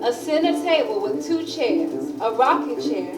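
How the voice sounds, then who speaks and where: Woman, stage-direction narrator, high voice, scripted theatre reading, echoey, music clearly behind. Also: very animated, measured pace, recorded from a distance.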